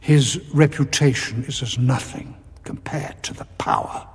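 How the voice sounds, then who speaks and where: Actor as gravelly, solemn, haughty aide. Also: male voice, mid-range voice, steady tone, measured pace, in a whisper, close to an everyday voice. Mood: haughty, scheming. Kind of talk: audio drama acting. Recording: reverberant hall with music bed.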